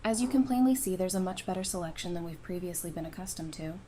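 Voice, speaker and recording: A woman's voice: fairly low pitch, lively intonation, fast talker, crowd noise, natural voice. A woman voice-acting a wry, affectionate apocalypse survivor in an audio drama, casual and dryly teasing. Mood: wry, warm. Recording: noticeable background noise and ambience.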